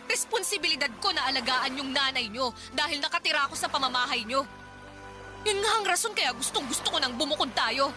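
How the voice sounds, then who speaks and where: A woman with a Filipino accent acting an exhausted, resentful, sharp-tongued wife in a heated radio drama, with music behind her. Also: high voice. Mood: hurt, accusing. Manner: sarcastic, heated. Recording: background music under the voice.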